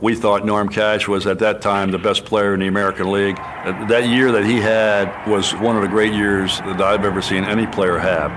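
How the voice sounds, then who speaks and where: A man's voice: fairly low pitch, little pitch movement, moderate pace, few pauses, noisy background. A man recalling past baseball seasons as an interviewee in a documentary.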